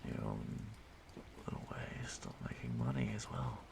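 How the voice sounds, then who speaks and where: A man playing an ageless stalker in an audio roleplay, speaking to his prey in a hushed, halting, softly menacing way, often breathy and whispering. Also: extremely low pitch, sing-song, full character voice. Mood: taunting, admiring. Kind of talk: audio roleplay monologue addressed to the listener.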